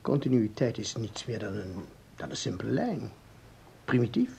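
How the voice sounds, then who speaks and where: An actor playing a calm researcher in a Dutch radio play, explaining formally and deliberately with small hesitations. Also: male voice, mid-range voice, gravelly, slight background noise. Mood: lecturing. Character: calm, explaining male researcher of time anomalies.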